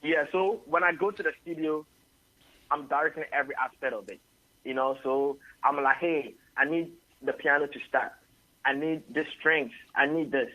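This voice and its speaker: A Ghanaian singer-songwriter answering radio interview questions at length, often adding "you know". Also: male voice, a little high, normal speed, pushed and bright, no room sound, highs cut off. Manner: rambling, tags sentences with "you know". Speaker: singer-songwriter and label head.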